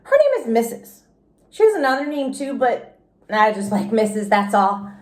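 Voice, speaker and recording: A woman performs an expressive children's read-aloud in a childlike manner. Her high voice swings widely in pitch. The room gives it noticeable echo. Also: normal speed. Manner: expressive, childlike first-person delivery. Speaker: teacher reading aloud. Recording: roomy, echoey indoor sound.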